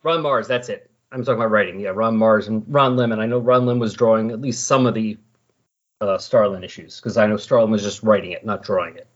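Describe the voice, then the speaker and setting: A man's voice: on the low side, steady tone, a little fast, lo-fi sound. A man hosting a podcast in a casual, conversational style. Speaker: podcast host. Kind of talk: casual chat about comic books.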